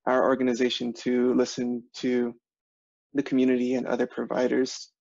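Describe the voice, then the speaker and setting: A man speaking up in a work meeting on a conference call, with halting, formal phrasing. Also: medium pitch, completely flat delivery, creaky, darkish tone, dry recording. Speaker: human services administrator. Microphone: remote conference call. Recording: dull, slightly noisy conference call.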